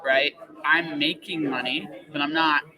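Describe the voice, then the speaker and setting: A man hosting a casual podcast interview, excitable and often repeating and rephrasing himself. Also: a little high, unhurried, crowd noise, low-quality recording. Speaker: podcast host interviewing a guest.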